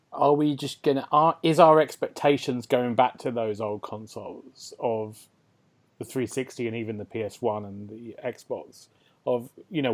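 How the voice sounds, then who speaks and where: A man's voice: mid-range voice, darkish tone. A man hosting a casual gaming podcast, rambling and thinking aloud, often seeking agreement. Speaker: podcast host.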